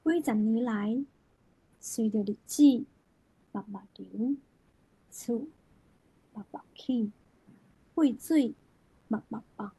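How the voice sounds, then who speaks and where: Female poetry reader, Taiwanese accent, very unhurried, higher pitch, soft dark tone with some huskiness. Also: breathy edge, dry recording. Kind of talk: expressive literary recitation.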